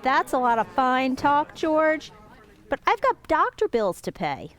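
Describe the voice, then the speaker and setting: A woman's voice: high-pitched, big pitch swings, a little slow. A woman playing a stubborn, demanding man at a bank in a radio drama.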